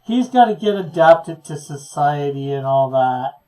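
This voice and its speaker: Guy, slow halting talk, chuckles a lot, casual stream host. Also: a little high, expressive, mellow, poor audio. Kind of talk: casual chat, reading out viewer comments.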